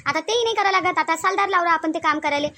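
Nagging middle-aged mother-in-law played by a woman for comic cartoon; very high pitch, rapid Varhadi delivery, sharp-tongued. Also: heavily acted. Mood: scolding. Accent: Varhadi Marathi of Vidarbha. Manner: complaining, scolding, rhetorical questions.

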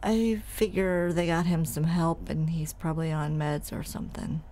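A woman's voice: deep voice, fairly even intonation, moderate pace, dark tone, lightly acted. A woman playing a reserved, matter-of-fact radio station coworker in an audio drama, speaking casually. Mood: guarded, concerned. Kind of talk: horror audio drama acting.

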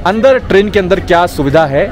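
A man's voice: high voice, animated, a little fast. A young man from India, chatting casually to viewers as a travel vlogger, recorded outdoors on a camera held at arm's length. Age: young adult. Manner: chatty, addresses viewers as brother. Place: outdoors at a busy railway station. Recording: outdoor crowd noise, music behind.

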